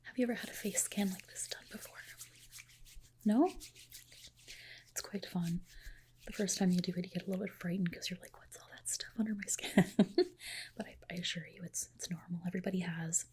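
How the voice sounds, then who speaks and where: A woman whispering softly in an ASMR roleplay as a clinic doctor, gentle, polite and reassuring, with a breathy delivery. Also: lowish voice, brisk, long pauses. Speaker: ASMR host roleplaying a dermatologist. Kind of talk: soft whispered ASMR personal-attention roleplay.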